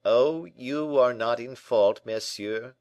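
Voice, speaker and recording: A man's voice: medium pitch, sing-song, measured pace, no echo, muffled recording, pitched above the performer's own voice. A man voicing a stout, gracious, self-satisfied manservant in an audiobook, with a deferential manner. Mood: gracious, rueful. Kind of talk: audiobook character acting.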